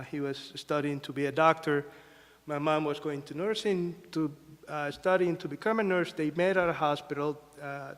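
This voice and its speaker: A man from Mexico telling a personal story to an audience as a panelist, halting with fillers and restarts. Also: a little high. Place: museum event hall. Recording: long reverb of a hall.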